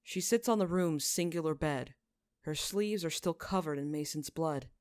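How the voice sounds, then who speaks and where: Female audiobook narrator: lowish pitch, measured, solemn delivery, very dry close recording. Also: darkish tone, no echo. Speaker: podfic narrator. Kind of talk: scripted fiction narration.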